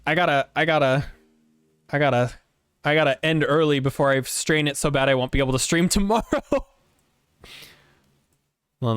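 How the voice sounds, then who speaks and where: A man streaming a game, hoarse from a long day of reading aloud, talking in a casual, rambling way. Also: on the high side, a bit bright, no echo, studio quality.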